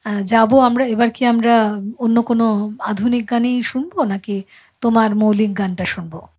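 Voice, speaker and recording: A woman with a slightly husky voice, chatting casually as the host of a music show. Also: on the high side, fairly even intonation, normal speed, darkish tone. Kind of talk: casual, conversational interviewing.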